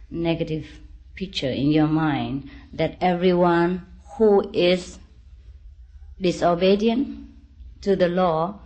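Slow-speaking woman giving a spiritual discourse. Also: on the low side. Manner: deliberate, halting phrasing with gentle reassurance. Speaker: spiritual teacher.

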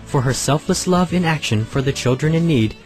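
A man reading scripted documentary narration in a calm, measured way as the program's voice-over. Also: on the high side. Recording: faint music underneath.

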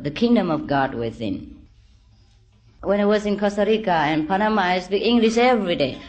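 Slow-speaking woman giving a spiritual discourse. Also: mid-range voice. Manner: deliberate, halting phrasing with gentle reassurance. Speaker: spiritual teacher.

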